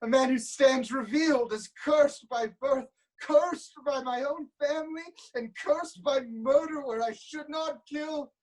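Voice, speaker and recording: A man's voice: very high-pitched, measured pace, hardly any pauses, a little mellow, low-quality recording. An actor playing a proud, anguished king in a theatrical reading of Greek tragedy.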